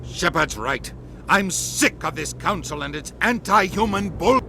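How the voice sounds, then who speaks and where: An actor playing a blunt, impatient human ambassador in a video game, with a sardonic manner. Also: male voice, high-pitched, animated, a little slow, creaky, noisy background, exaggerated voice. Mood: indignant. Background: music.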